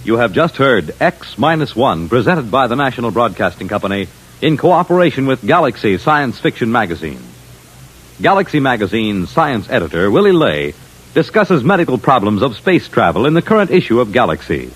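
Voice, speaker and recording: Husky, animated male radio announcer. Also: medium pitch, moderate pace, poor audio. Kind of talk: 1950s network radio announcing, scripted. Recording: vintage radio broadcast.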